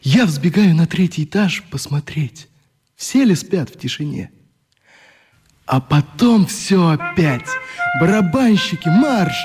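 A man's voice: on the high side, animated, takes it slowly, a little mellow, husky, soft background music, full character voice. An actor in a radio play playing a sick, irritable young man who leads youngsters with idealism. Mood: quiet, earnest. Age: young adult. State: coughing, sick with flu.

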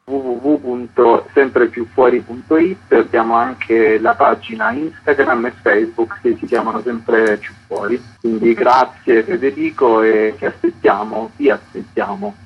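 A man talking casually in a radio interview as an event organiser, with a husky voice and muffled sound. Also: medium pitch, expressive, measured pace, hardly any pauses. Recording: dull, narrow-band phone-style radio sound.

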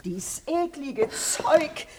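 A woman's voice: high voice, big pitch swings, very slow, noisy background, exaggerated voice. An actress playing a middle-aged, fearful, nagging mother and landlady in a radio play, alarmed and insistent, often repeating herself. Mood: panicked, disgusted. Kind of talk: German radio play acting.

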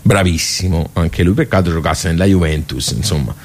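Low-voiced, rambling Tuscan village club regular. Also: male voice, moderate pace. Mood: nostalgic, wry. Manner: rambling, folksy, digressive. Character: chatty nostalgic male regular of a village social club. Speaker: actor in a radio theatre monologue. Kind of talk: colloquial storytelling monologue, radio theatre.

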